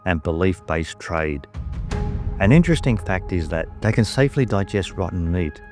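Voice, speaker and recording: Travel host, male, low voice, calm measured narration, light music underneath.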